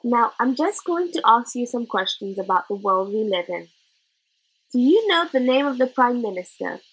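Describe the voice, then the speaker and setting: A woman's voice: a little high, sing-song, moderate pace, slightly rough, full character voice, poor audio. A woman voicing a nervous, fussy male royal scholar who asks prim, careful questions. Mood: coaxing.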